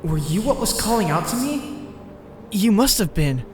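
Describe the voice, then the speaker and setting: A man's voice: high-pitched, big pitch swings, moderate pace, a little mellow, in a large hall, faint music behind. A man playing an earnest, intense teenage boy who muses about fate in a comic dub. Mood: intense, wondering. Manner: earnest, musing, introspective. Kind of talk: comic dub acting with first-person narration.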